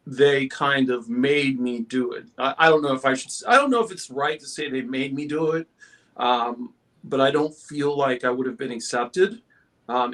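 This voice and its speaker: A man on a casual panel chat who speaks candidly and haltingly, pausing often on fillers. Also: medium pitch, fairly bright tone. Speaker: panel guest.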